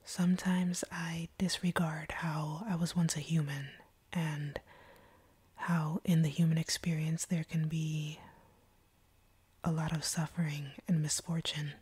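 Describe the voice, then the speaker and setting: A woman performs as an ancient female vampire in an intimate audio roleplay. Her voice is a dark, very breathy whisper, husky and close in a dry recording. She speaks in a deliberate, hushed way, a stern captor who is softening. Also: low voice, level tone, no echo, heavily acted. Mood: reluctantly tender, apologetic.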